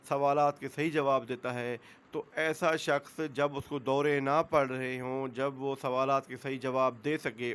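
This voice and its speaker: A man's voice: fairly high pitch, a bit bright. A man with a Pakistani accent giving an explanatory law lecture, repeating points, posing questions and mixing in English legal terms.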